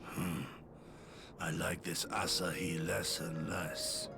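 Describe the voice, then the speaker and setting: Gruff old warrior, super slow and gravelly, loud music behind. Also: male voice, low-pitched, flat delivery, heavily acted. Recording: game dialogue over loud background music.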